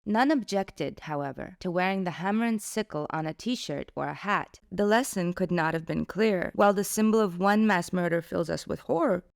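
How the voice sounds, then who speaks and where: Earnest young woman with a gravelly voice. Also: lowish voice, normal speed, few pauses. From Russia. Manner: earnest, opinionated, explanatory. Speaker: video essay host. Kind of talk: scripted video essay narration.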